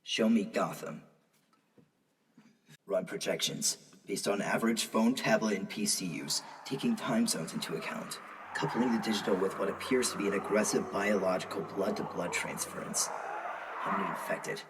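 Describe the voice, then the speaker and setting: A man's voice: fairly low pitch, normal speed, big-room sound, close to an everyday voice. A man playing grave, commanding superheroes in a comic book drama, on a distant, noisy recording. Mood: grim, focused. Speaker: fan dub voice actor.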